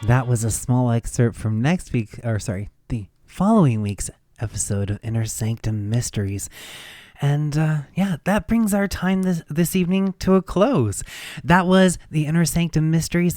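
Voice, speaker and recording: A man's voice: mid-range voice, animated, moderate pace, dark tone, no echo, studio quality. A man hosting a radio show in a casual, chatty style.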